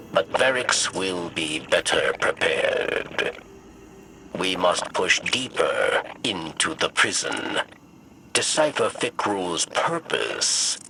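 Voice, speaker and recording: An actor playing a wily, obsequious alien prison keeper in a video game, halting and speaking of himself in the third person. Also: male voice, sits low, gravelly, pushed and bright, slight background noise, full character voice, poor audio. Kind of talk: scripted video game dialogue. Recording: music behind the voice. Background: game music.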